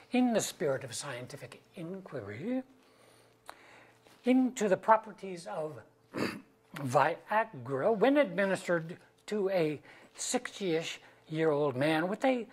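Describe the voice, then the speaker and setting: A male actor plays an anxious, amorous husband of about sixty in a staged play reading. His voice is husky and he speaks slowly and haltingly. He turns his worries into dry, self-mocking remarks. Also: a little high, full character voice. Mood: sheepish, deliberate. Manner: halting, deliberate, dryly self-mocking delivery.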